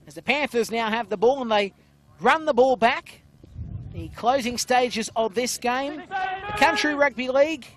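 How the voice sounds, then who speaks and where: A man giving loud, excited play-by-play commentary on a rugby league match from an outdoor ground. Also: extremely high pitch, unhurried. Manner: loud voice, calls play excitedly, many "uh" fillers.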